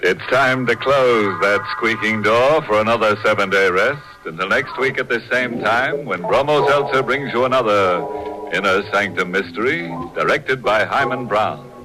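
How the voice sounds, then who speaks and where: An actor playing a sardonic, ghoulish 1940s radio horror host, wry and macabre with mock politeness. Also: male voice, on the low side, moderate pace, lightly acted, poor audio. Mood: mock-friendly, sinister. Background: music.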